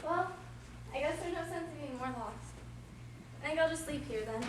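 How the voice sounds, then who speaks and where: A woman's voice: high-pitched, lively intonation, normal speed. A woman playing a modest young woman in love in a stage play, coy and prim, recorded from far off in an echoey hall. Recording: echoey hall, distant mic, noisy.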